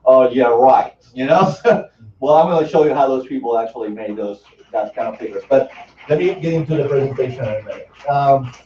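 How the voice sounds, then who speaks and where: A man's voice: mid-range voice, brisk, dark tone, a little hiss or hum. A man from Argentina with an Argentine Spanish accent, a native Spanish speaker, giving a casual, chatty presentation with lots of fillers and restarts. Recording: distant, dull sound in an echoey room.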